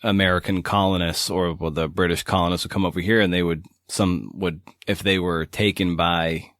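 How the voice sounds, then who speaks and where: A man with a deep voice hosts a conversational interview podcast. He is informal and tentative, building his questions loosely as he goes. The sound is dry, free of room echo. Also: fairly even intonation, moderate pace, a bit bright.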